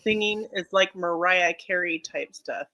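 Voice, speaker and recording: A woman chatting casually on a livestream, talkative and quick to laugh. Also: fairly low pitch, pushed and bright. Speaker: livestream co-host. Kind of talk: casual chat.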